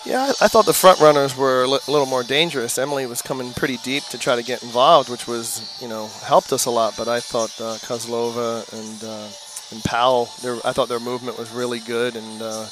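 A middle-aged male coach speaks outdoors into a headset mic at a mid-range pitch. He answers in a halting way, with fillers and restarts, while music plays underneath. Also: fairly even intonation, poor audio. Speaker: head coach. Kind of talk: post-game interview. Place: outdoors by a stadium. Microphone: headset microphone.

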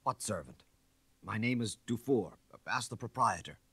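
A man voicing a frightened, broken former servant in a story, nervous, husky and defensive. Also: lowish voice, lively intonation, pushed and bright, dry recording, pitched above the performer's own voice, heavily acted. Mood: anxious denial. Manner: nervous, halting protests.

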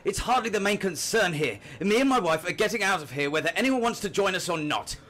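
A man's voice: high-pitched, animated, quick pace, fairly bright tone. A man playing an arrogant, selfish rich husband in a radio drama, pompous and domineering. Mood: haughty, impatient.

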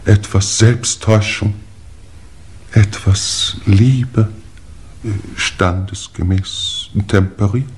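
An actor playing a dignified, pompous aging English lord in a 1950s German radio play, with stately, formal delivery. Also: male voice, lowish voice, fairly even intonation, extremely slow, a little mellow, slight background noise. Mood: wistful, grandiose.

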